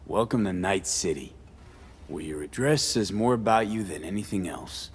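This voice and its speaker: A gruff mercenary in a video game, with a very deep voice. He speaks in a steady, supportive, low-key way, with music underneath. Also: normal speed, full character voice. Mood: wry. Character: gruff, steady male mercenary consoling a friend.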